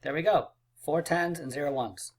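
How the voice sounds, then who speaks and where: A man's voice: fairly high pitch, moderate pace. Upbeat man teaching kids math. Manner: upbeat and encouraging, playful drum rolls and counting aloud. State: losing his voice, clearing throat. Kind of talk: math lesson video for kids.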